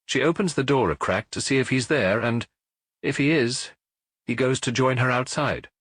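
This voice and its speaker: A man's voice: mid-range voice, normal speed, fairly bright tone, no echo, voice pushed up, close to an everyday voice. A man voicing a hesitant, evasive café waitress in a detective audiobook, with a guarded manner.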